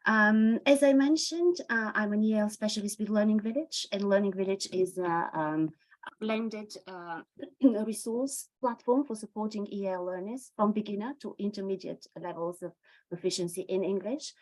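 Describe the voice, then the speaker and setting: A woman's voice: medium pitch, normal speed, rough recording. Bulgarian-accented woman presenting a webinar. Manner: hesitant, many uh and um fillers. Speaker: EAL specialist presenting a webinar.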